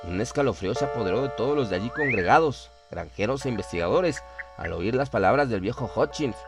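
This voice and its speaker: A man's voice: mid-range voice, expressive, fairly quick, over music. A man narrating a scripted horror story in a solemn, dramatic storytelling manner.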